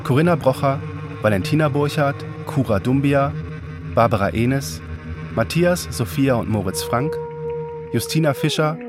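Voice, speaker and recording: Male radio announcer, scripted reading, average pitch, clear musical backing. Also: moderate pace. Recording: music behind.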